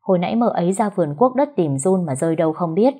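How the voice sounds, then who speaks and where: A woman doing a sly young master in a spoken story, talking quickly and bossily in a low, husky tone close to her own voice. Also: expressive, warm tone. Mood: scheming.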